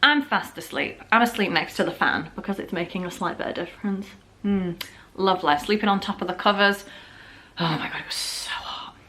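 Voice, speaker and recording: A woman's voice: mid-range voice, brisk, a bit bright. A young woman with a slightly sore, scratchy throat, chatting through a storytime vlog at home with lots of "like"s and jokes. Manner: chatty, jokey, full of filler "like"s. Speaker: vlogger. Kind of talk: casual storytime chat.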